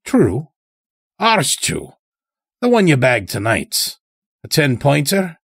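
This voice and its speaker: A man's voice: a little high, huge pitch swings, slow, vocal fry, fairly bright tone, slightly rough, no room sound, clean studio sound, voice pushed up, exaggerated voice. A man voicing a folksy, taunting old elder in an audio story, chatty and needling with rustic phrasing. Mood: sly, chatty. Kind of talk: dramatic audio story character.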